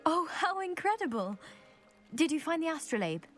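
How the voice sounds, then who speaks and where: A woman's voice: extremely high pitch, very animated, normal speed, a bit bright, faint music behind, heavily acted. A woman playing a proud, eager young lady from a scholarly family in a video game, with a posh British accent and precise, self-assured delivery. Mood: delighted. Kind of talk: video game character acting.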